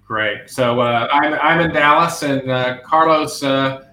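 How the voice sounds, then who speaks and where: A male podcast host with a bright, forceful voice who asks long, rambling questions packed with "you know" and "uh". He is recorded on a video call, and his voice carries a clear roomy echo. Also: mid-range voice, slow. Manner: rambling, many fillers and restarts. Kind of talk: casual interview hosting.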